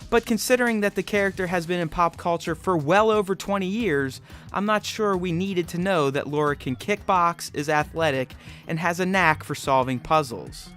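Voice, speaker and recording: Higher-pitched man reviewing films over music. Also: moderate pace, fairly bright tone. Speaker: film reviewer and host. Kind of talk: scripted review narration. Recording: music bed behind voice. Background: background music.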